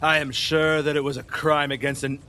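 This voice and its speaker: A man's voice: on the high side, flat delivery, moderate pace, sharp tone, slight background noise. A man playing an imperious, superstitious and appalled king, declaiming in a theatrical classical audio drama. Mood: appalled, grave. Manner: declamatory, commanding.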